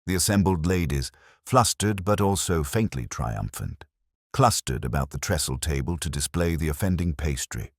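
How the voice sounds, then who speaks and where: Very deep-voiced male storyteller. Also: lively intonation, moderate pace, dry recording, studio quality. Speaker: narrator. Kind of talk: scripted literary mystery narration.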